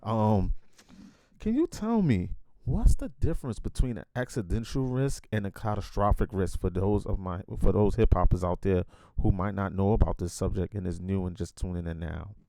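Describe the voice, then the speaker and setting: A man's voice: low-pitched, moderate pace, gravelly, mellow, no room sound, poor audio. A man hosting a podcast, giving a hyped introduction and then asking questions with many fillers and restarts. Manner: emphatic hype intro. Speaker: podcast host. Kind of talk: casual interview chat.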